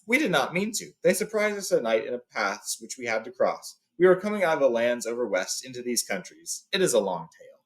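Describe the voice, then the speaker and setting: A man's voice: mid-range voice, sing-song, a little fast, hardly any pauses, close to an everyday voice. A man voicing a courteous, authoritative old wizard in a storybook, speaking formally and with emphasis. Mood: placating. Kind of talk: storybook read-aloud character.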